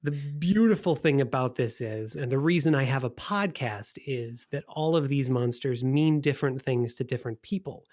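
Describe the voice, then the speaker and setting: A man's voice: medium pitch, normal speed, few pauses, darkish tone. A man hosting a podcast, talking with enthusiasm in both introductions and conversation. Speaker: podcast host. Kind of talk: scripted podcast introduction and casual interview talk.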